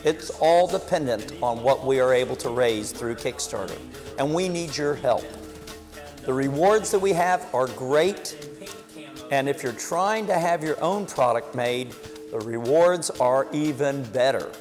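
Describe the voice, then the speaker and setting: An older man, a chef and business owner, giving a folksy, salesy promotional pitch to camera. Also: animated, unhurried, in a large hall, over music. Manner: folksy, salesy, plain-spoken. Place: commercial kitchen and office.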